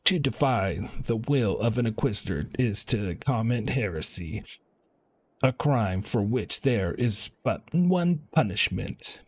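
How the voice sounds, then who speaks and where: A man live-streaming a video game, reading quest dialogue aloud in a halting way and stumbling over words. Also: on the low side, lively intonation, breathy edge.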